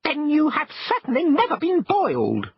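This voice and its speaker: Shrill, irate little shrimps. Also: male voice, wildly swooping pitch, moderate pace, no echo, highs cut off, full character voice. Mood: outraged. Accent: English. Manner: shrill, haughty, outraged. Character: tiny irate shrimps in a children's audiobook.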